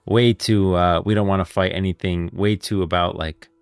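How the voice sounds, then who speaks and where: A man with a deep voice gives casual, emphatic commentary as a livestreamer. The sound is close and dry, with faint music behind him. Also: fairly even intonation, normal speed, clear, resonant tone, dry recording. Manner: emphatic, repeats himself. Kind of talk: casual reaction commentary on game lore.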